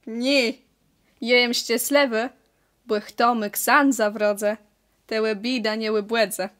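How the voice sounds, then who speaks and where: Casting: a woman playing a cheerful young Kashubian village bride, higher-pitched, unhurried, audio drama. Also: flat delivery, a bit bright. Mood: happy, chatty. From Poland. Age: young adult. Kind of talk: amateur student audio drama acting in Kashubian.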